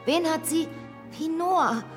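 A woman's voice: extremely high pitch, slow pace, soft background music. An actress playing an intense, inquisitive noblewoman in a radio drama. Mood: indignant, probing. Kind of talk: German radio drama acting.

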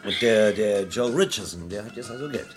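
An actor playing a wry, probing British police detective in a German radio crime play, talking casually with a gravelly, husky voice. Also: male voice, on the low side, brisk, natural voice. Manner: casual, probing, conversational questioning. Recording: vintage radio play with faint music.

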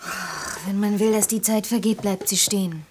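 An actress playing a restless, defiant young female student in a radio play, with a breathy voice that often drops toward a whisper. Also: medium pitch, fairly even intonation, normal speed, background noise, natural voice. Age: young adult. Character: restless, defiant eighteen-year-old female student, unsure and curious. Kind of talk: German radio play dialogue.

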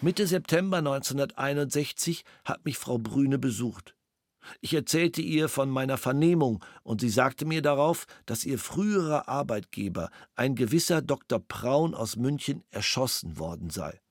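A man's voice: mid-range voice, animated, moderate pace, no echo, studio quality, natural voice. An actor reading the statement of a male witness in a formal, matter-of-fact way.

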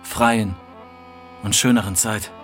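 A man's voice: lowish voice, fairly even intonation, slow, a bit bright, faint music behind. A man playing an earnest, melancholic young writer, reciting literary texts in a radio play. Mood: defiant, earnest.

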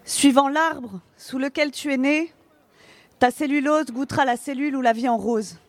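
A woman reciting spoken-word poetry in a measured, rhythmic way with deliberate articulation. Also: high voice, slight background noise.

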